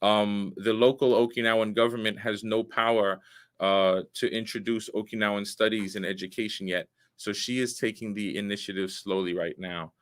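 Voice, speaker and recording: A man in his forties hosting a live online talk show, speaking in an earnest, measured way with frequent pauses and fillers. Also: on the low side, steady tone. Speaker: show host, veteran.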